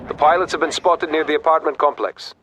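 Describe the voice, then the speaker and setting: An actor playing a businesslike allied officer making formal, precise radio reports in a video game. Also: male voice, fairly high pitch, brisk, pushed and bright, a little hiss or hum, poor audio. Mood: serious, reporting.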